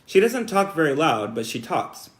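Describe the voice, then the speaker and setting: A man reading aloud as an earnest, imaginative little farm girl in a storybook, insistent and excited as a storyteller. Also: a little high, normal speed, pitched above the performer's own voice. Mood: eager, insistent.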